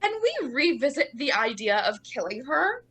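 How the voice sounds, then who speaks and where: A young woman playing a blunt, scheming sorority nursing student in a dramatic reading, emphatic and matter-of-fact about dark ideas. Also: high voice, sing-song, moderate pace, breathy edge, full character voice. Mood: earnest, provocative.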